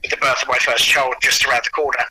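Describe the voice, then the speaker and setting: A man's voice: medium pitch, level tone, fast talker, bright, forceful tone, a little hiss or hum, rough recording. A man speaking as an interview guest, enthusiastic and anecdotal in casual chat. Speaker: audio producer and actor, interview guest.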